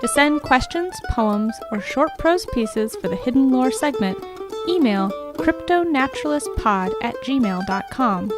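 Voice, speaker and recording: High-voiced woman reading credits over mandolin. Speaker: podcast credits announcer. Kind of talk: scripted credits read. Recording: plucked mandolin music behind the voice. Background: mandolin theme music.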